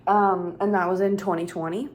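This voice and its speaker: Brief: woman, 30s, rambling casual vlogger, mid-pitched. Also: steady tone, normal speed. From Minnesota, USA. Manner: rambling, chatty, heavy use of "like". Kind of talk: casual vlog chat. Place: her home.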